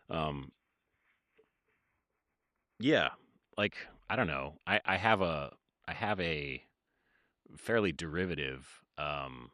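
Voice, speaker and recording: A man in his thirties speaks in an extremely deep voice with a bright, gravelly edge, close to the mic in a dry room. He rambles in a casual livestream chat from his home studio, full of fillers and half-finished thoughts. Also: no echo. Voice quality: very low voice. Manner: rambling, hesitant, many fillers and trailing-off sentences. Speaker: livestreaming music producer.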